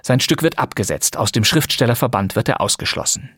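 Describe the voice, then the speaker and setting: A male host delivers scripted narration to introduce a crime radio play. His voice is husky and sits at a medium pitch. The sound is close and dry, with no room around it. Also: expressive, a little fast, fairly bright tone, dry recording.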